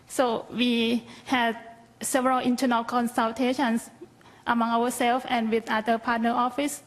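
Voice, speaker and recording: A woman with a Thai accent speaks in a fairly high voice with very little melodic movement. She presents prepared material as a researcher at a conference, explaining it plainly and modestly. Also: little pitch movement, measured pace. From Thailand. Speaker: health policy researcher, conference panelist.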